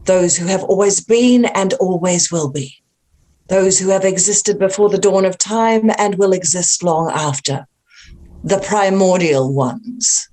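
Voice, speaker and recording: A woman's voice: fairly low pitch, a little slow, warm tone, close to an everyday voice. A woman playing an ancient, inhuman cult acolyte in a horror script read, speaking with grandiose, taunting deliberation. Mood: sinister, grandiose. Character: sinister ancient female thing, cultic acolyte of cosmic gods, grandiose, taunting. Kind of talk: dramatic horror table read.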